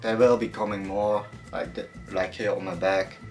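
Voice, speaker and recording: Young guy doing a laid-back gym q&a vlog, lowish voice, lots of ums, swears casually. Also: moderate pace, soft background music. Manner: relaxed and hesitant, many fillers, casual swearing. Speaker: fitness vlogger.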